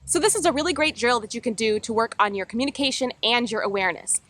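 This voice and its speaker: A woman giving upbeat, encouraging instruction as a roller derby coach and read-aloud host, projecting clearly. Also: on the high side, animated, fast talker, fairly bright tone, slight background noise.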